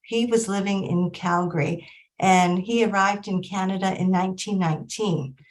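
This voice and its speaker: Middle-aged woman from Hong Kong, measured researcher on a video call panel. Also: medium pitch, fairly even intonation, rough recording. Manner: measured, with frequent ums. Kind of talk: panel discussion. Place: home or office in Vancouver.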